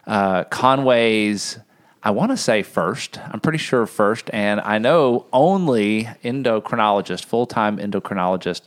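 A middle-aged man hosts an interview in a studio, his voice low and unhurried by default. He stays friendly but halting, leaning on filler sounds between phrases. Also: smooth. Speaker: host, health system CEO. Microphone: studio mic.

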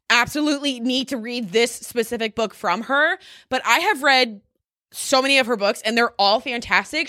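Bright, high-voiced chatty woman. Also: dry recording, studio quality. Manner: gushing, emphatic, conversational. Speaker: vlogger recommending favorite books. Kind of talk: casual chatty storytime monologue to camera.

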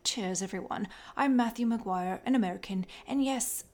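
A woman's voice: medium pitch, moderate pace, a little mellow, close to an everyday voice. A woman voicing an earnest American machinist man who explains things like a tour guide.

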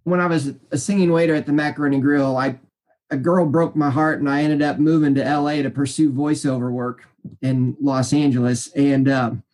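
A man in his forties with a naturally high voice, rambling cheerfully through stories as an interview guest, full of fillers and restarts. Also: fairly even intonation, moderate pace, darkish tone. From Kansas City area, US. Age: 40s. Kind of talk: casual interview storytelling.

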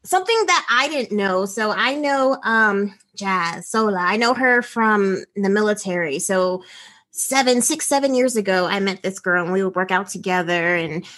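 A woman's voice: medium pitch, animated, moderate pace, a bit bright. A woman hosting a casual interview, enthusiastic and affirming toward her guest. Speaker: podcast host.

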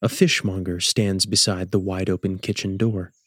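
A man hosting and narrating a radio drama as a measured, scripted storyteller. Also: sits low, little pitch movement, dry recording, studio quality. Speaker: host and narrator.

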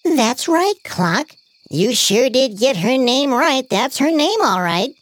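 A talking household object from a bedtime story, played by a woman. The voice sits high and bounces up and down in a sing-song way. It is dry and close. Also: sing-song, slow pace, no room sound, exaggerated voice. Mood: cheerful. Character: talking smart speaker and grumpy rug.

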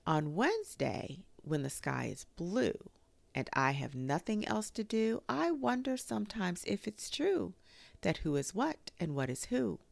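A woman voicing an amiable, slow-witted male toy bear in a children's storybook, speaking simply and earnestly with some hesitation. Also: mid-range voice, big pitch swings, creaky, no room sound, voice pushed up, heavily acted. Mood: reciting.

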